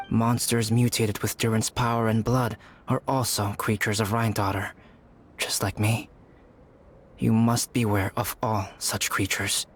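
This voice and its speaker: An actor playing a calm, pensive young alchemist in a game, speaking in a grave, deliberate, storytelling way over music. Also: male voice, mid-range voice, lightly acted. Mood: calm, reflective. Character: calm, pensive young male alchemist telling a dark tale. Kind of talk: measured game dialogue storytelling. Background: background music.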